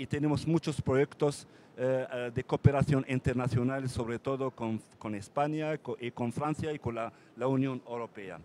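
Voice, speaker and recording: Man, mayor, halting, restarts often, panel talk, faint background hiss. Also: medium pitch, dark tone. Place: conference panel.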